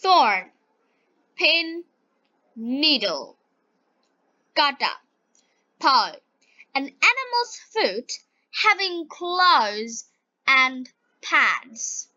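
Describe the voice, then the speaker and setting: A woman with a Bengali accent reading like an English teacher for learners, in short, deliberate, carefully enunciated phrases. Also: high-pitched, sharp tone, no echo, poor audio. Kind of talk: slow scripted story reading and vocabulary lesson for learners.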